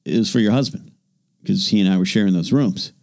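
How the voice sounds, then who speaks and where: A man's voice: on the low side, brisk, gravelly, darkish tone. A man co-hosting a casual podcast chat, joking around and slipping into silly voices for his bits. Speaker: co-host.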